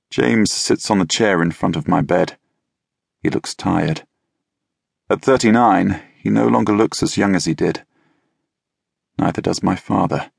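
A man narrating a novel in the first person, with a wry, measured, deadpan delivery. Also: low voice, gravelly, breathy edge, no echo, clean studio sound. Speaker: audiobook narrator. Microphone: close studio mic.

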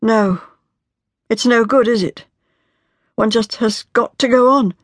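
A woman's voice: slow pace, no echo. Woman voicing a tormented young wife. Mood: anguished. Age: 20s. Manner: pleading, tormented, rising to a high strained pitch. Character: anguished young woman, desperate, grief-stricken. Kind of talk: audiobook character voice.

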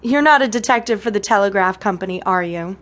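A woman's voice: mid-range voice, expressive, fast talker, fairly bright tone, close to an everyday voice. An actress playing a wary, feisty, defensive young woman in a radio drama, with a snappy, guarded delivery.

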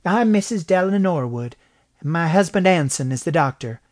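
A man's voice: fairly high pitch, expressive, normal speed, darkish tone, voice pushed up, natural voice. A man voicing a friendly woman, a doctor's wife, in a western audiobook. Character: pretty, friendly doctor's wife in the Old West. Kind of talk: western audiobook character acting.

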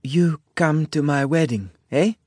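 A man voicing a curious, forthright young Italian immigrant woman in a storybook, with an Italian accent and halting broken English. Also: fairly high pitch, a little mellow, no echo, studio quality, voice pushed up. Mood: inquisitive.

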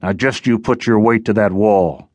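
Man voicing a gruff, menacing giant outcast. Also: on the low side, a little mellow, no echo, close to an everyday voice. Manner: gruff, nautical, unhurried commands. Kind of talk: audiobook character voice.

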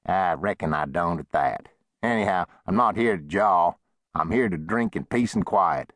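A sardonic drifter cowboy, read by a male narrator, laconic and terse. His voice is very low and husky, close and dry, in the manner of a western audiobook. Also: expressive, moderate pace, fairly bright tone, dry recording. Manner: laconic, wry frontier talk.

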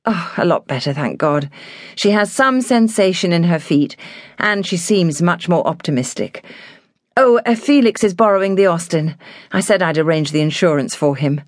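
A woman voicing an anxious, dutiful squire's daughter in an audiobook, brisk, worried and well-spoken. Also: on the low side, lively intonation, no echo, studio quality, voice pushed up, lightly acted. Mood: worried, hurried. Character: anxious, dutiful young gentlewoman, squire's daughter, taking charge.

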